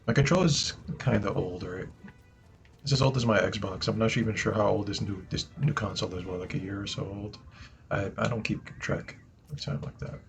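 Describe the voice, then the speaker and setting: A man with a naturally low voice chatting casually as he streams a game, often asking "right?" and addressing viewers as guys. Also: brisk, gravelly, faint music behind. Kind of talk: casual gameplay commentary. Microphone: desk mic he moves around.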